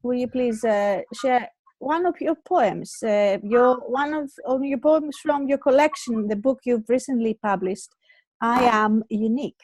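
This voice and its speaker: A female talk show host with a Greek accent and a fairly high voice, recorded close and dry. She is effusive and informal, feeling for her words and often repeating them as she goes. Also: measured pace, darkish tone, no echo, rough recording. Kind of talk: casual talk show hosting.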